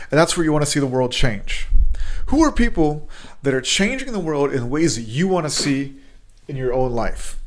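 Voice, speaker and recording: A man hosting a motivational podcast, earnest and prone to repeating words and restarting, who also speaks Hebrew. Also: on the high side. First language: bilingual, speaks Hebrew. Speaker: podcast host.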